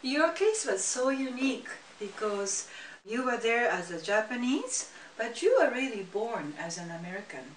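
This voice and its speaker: A woman's voice: fairly high pitch, big pitch swings, moderate pace. A middle-aged woman interviewing politely and attentively in a living room. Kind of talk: formal interview questions. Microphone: far camera. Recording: roomy, distant home recording with faint noise.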